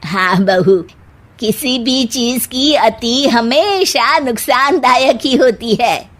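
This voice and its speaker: Casting: actress for sarcastic middle-aged mother-in-law, cartoon, highish pitch, unhurried nagging drawl. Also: animated, a bit bright. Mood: advising. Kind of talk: Hindi cartoon story dialogue.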